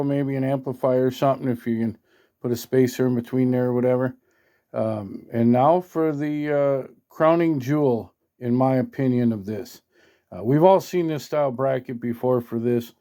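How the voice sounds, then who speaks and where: A man's voice: mid-range voice, dark tone. A man talking casually and unscripted as he demonstrates gear, in a folksy, hesitant way, often checking in with the listener. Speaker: hobbyist product demonstrator. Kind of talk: casual unscripted demo talk.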